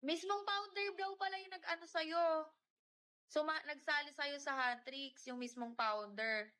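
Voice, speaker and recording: Bright high-voiced Filipina livestreamer. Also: female voice, fairly even intonation, unhurried. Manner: chatty, reads out and greets viewers by name; mixes Tagalog and English mid-sentence. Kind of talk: casual live-stream chat.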